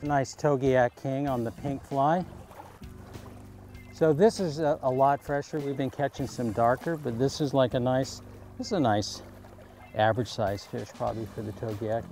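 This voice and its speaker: A man's voice: mid-range voice, fairly even intonation, normal speed, darkish tone, soft background music. Enthusiastic man fishing on a river. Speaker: angler. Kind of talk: on-the-water commentary.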